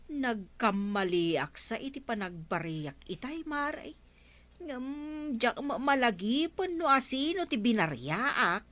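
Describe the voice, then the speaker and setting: Slow, flustered village storekeeper woman. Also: a little high, very animated, fairly bright tone, full character voice. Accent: Filipino, Ilocano. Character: forgetful, flustered female village storekeeper who miscounts change. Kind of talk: Ilocano radio comedy drama acting.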